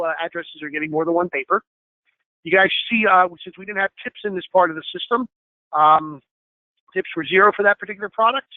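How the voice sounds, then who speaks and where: A man gives a screen-share software training, explaining each step with plenty of fillers and a slightly hoarse voice. His voice is fairly high for a man and he talks quickly. The sound is thin and tinny, like a phone line, and very dry. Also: no echo. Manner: explanatory, many fillers, asks for questions. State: slightly hoarse, clearing throat.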